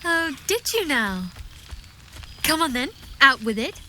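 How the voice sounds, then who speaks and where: A woman's voice: high-pitched, sing-song, takes it slowly, bright, forceful tone, a little hiss or hum, heavily acted. An actress playing an affectionate, teasing young woman adventurer in a video game, with a playful, wry manner. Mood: delighted. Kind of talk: video game character acting.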